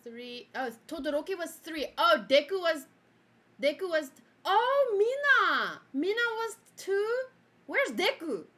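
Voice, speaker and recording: A young woman whose first language is Afrikaans talks through an anime review in a chatty, giggly way. Her voice sits high and sounds bright and sharp. She bursts out loudly, then drops into quiet muttering to herself. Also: very animated, unhurried. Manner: chatty, giggly, jumps between loud outbursts and mumbling to herself. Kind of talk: casual review chat reacting to her own old video.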